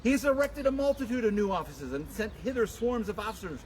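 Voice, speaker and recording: A man giving a dramatic, declamatory public reading of a historical document in deliberate oratory, outdoors. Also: high-pitched, animated, over a noisy crowd. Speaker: public reader at a commemoration. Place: outdoors at a memorial site. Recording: noisy outdoor live stream, traffic.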